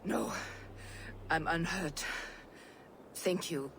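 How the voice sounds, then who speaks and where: A woman's voice: fairly low pitch, very slow, vocal fry, big-room sound, background noise, exaggerated voice. A woman playing a guarded, protective farm mother in a video game drama.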